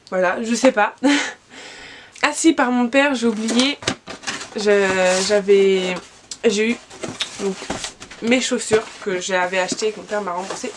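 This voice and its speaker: A woman's voice: mid-range voice, noisy background. A young woman with a cold, chatting casually in a vlog and showing her gifts, with lots of fillers and restarts. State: has a cold. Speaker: vlogger. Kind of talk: casual gift haul vlog.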